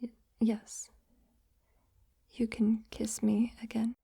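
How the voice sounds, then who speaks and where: A woman playing a shy, affectionate young best friend in an intimate ASMR roleplay, speaking softly and hesitantly, often in a breathy near-whisper. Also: a little high, flat delivery, dry recording, exaggerated voice. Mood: flustered, bashful. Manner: hesitant, gentle, halting. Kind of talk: intimate roleplay ASMR, soft-spoken acting.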